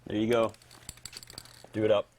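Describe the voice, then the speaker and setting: A man's voice: fairly low pitch, completely flat delivery, normal speed, darkish tone, a little hiss or hum. A man hosting a music show, interviewing a guest in an informal, chatty way. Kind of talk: casual on-camera music interview.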